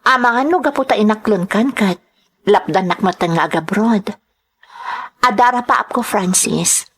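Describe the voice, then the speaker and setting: A woman's voice: mid-range voice, moderate pace, fairly bright tone, husky. A woman with an Ilocano accent playing a coy, doubting and tender young woman being courted in a radio drama. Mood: tender, worried.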